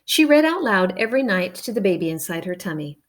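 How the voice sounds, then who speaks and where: A woman reading a children's picture book aloud, expressive and adding playful spoken asides. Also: medium pitch, brisk. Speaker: storybook reader.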